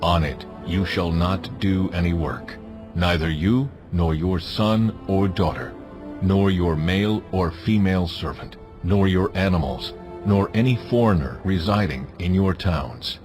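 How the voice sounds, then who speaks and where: A man playing a stern, majestic deity who gives solemn, authoritative commands in a dramatized scripture production. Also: sits low. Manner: solemn, authoritative, deliberate phrasing. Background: music.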